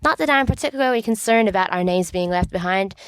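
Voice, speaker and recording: A woman's voice: medium pitch, animated, quick pace, clean tone, dry recording, close to an everyday voice. Woman as bookish teenage boy, earnest, exasperated, precise wording, storybook dialogue, dry sound. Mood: exasperated protest.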